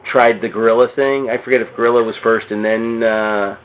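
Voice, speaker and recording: Man, casual comics chat, rambling, lots of "you know," mid-pitched, thin phone-like sound. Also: fairly even intonation, fairly quick, a little hiss or hum. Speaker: podcast guest. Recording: tinny phone-like audio.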